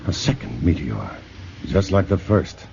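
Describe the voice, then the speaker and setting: An actor playing a calm, earnest male scientist in a 1950s radio drama. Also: a bass voice, a little slow, creaky, heavily acted. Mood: grave. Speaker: lead actor. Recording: hissy lo-fi 1950s radio broadcast.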